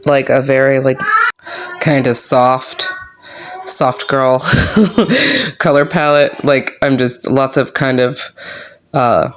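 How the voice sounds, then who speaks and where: A woman speaking in a low, flat, monotone voice, casually talking a viewer through her reading journal while she works on it. She is unhurried and relaxed, with lots of "um" and "like" filling her sentences. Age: adult with young kids. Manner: unhurried, filler-heavy, lots of "um" and "like". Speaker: book journaling vlogger. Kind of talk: casual chat while crafting.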